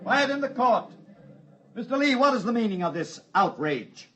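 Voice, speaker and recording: A man's voice: extremely high pitch, big pitch swings, normal speed, bright, forceful tone, a little hiss or hum, heavily acted. An American man playing a stern, indignant, pompous judge in a 1950s radio drama. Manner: pompous, formal. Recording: dull 1950s radio recording.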